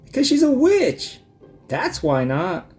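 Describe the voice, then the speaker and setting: A man's voice: very high-pitched, huge pitch swings, takes it slowly, darkish tone, soft background music, pitched above the performer's own voice, exaggerated voice. A man voicing a young boy bear cub, eager and cautious, in a children's storybook. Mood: nervous. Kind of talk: children's storybook read-aloud.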